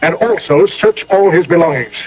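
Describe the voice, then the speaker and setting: Actor as a grave, commanding Revolutionary War general. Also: male voice, fairly high pitch, expressive, background noise, natural voice. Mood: stern, probing. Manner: measured, authoritative interrogation and orders. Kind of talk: 1980s radio drama acting. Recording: muffled old radio broadcast.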